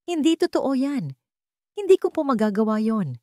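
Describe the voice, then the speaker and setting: A woman's voice: high voice, very animated, moderate pace, dark tone, no echo, clean studio sound, voice pushed up. A woman voicing a timid young female office employee, nervous and pleading, in a romantic audio drama. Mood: shocked, nervous.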